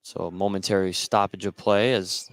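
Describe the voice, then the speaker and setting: A man's voice: low voice, fairly even intonation, normal speed, no echo. A man doing live play-by-play radio commentary of a basketball game.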